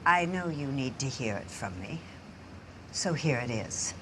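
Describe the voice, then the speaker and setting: A woman's voice: extremely low pitch, expressive, unhurried, noisy background. A middle-aged woman playing a grand, vain film actress in a period TV drama, speaking with mannered, precise, pointed courtesy. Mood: icily gracious. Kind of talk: television period drama acting.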